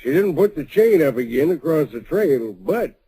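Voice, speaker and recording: A mellow, homespun frontier miner. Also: male voice, a little high, sing-song, moderate pace. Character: homespun old-west gold miner telling the tale. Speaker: narrator. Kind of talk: 1940s radio acting.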